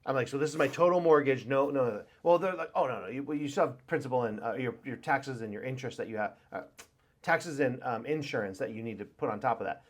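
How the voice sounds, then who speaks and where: A man's voice: mid-range voice, fast. A man co-hosting a podcast in casual chat, rambling through stories and acting out phone calls in quoted voices. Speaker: podcast co-host.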